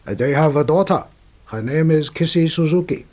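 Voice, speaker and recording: An actor playing a courteous official and interpreter, formal, deferential and slightly hesitant, in a radio drama. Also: male voice, a little high, moderate pace, a little mellow, natural voice. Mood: courteous, helpful.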